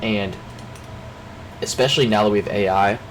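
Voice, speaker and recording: A young man with a fairly low voice and a stuffed-up nose, chatting off the cuff in a loose daily check-in. He rambles, restarts his sentences and leans on filler words. An air conditioner hum and background noise sit under his voice. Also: normal speed, rough recording. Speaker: vlogger.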